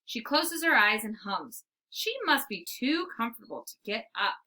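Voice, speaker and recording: Animated woman reading a storybook aloud. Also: fairly high pitch, normal speed. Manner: animated, theatrical. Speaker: storybook reader. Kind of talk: expressive read-aloud narration.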